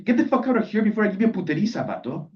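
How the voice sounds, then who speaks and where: A man's voice: mid-range voice, expressive, a little fast, natural voice. A man voicing a touchy, macho male grad student in a live story reading, slangy and posturing. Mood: angry, threatening. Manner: slangy, earnest, then threatening. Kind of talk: character dialogue in a live literary reading. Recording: dull sound, distant microphone.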